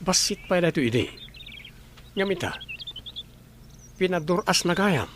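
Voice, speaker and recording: A man's voice: high voice, animated, measured pace, background noise. A man playing a scheming, jealous and money-hungry boss in an Ilocano radio drama. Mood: casual.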